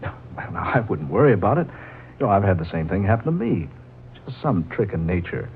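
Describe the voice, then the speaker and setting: An actor playing a hearty, practical, joking husband with a folksy manner in a 1940s radio drama. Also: male voice, lowish voice, sing-song, fairly quick. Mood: easygoing advice. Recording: muffled, hissy old 1940s radio recording.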